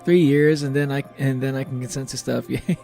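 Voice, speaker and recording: A man livestreaming a video game, chatting casually and haltingly with viewers, with informal, sweary speech. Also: medium pitch, steady tone, vocal fry, dark tone. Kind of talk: casual chat with stream viewers. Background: game music.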